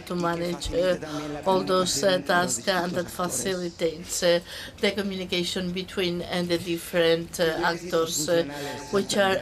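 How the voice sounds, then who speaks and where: A woman interpreting a conference talk into English, speaking haltingly with many drawn-out "uh" fillers between words. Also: lowish voice.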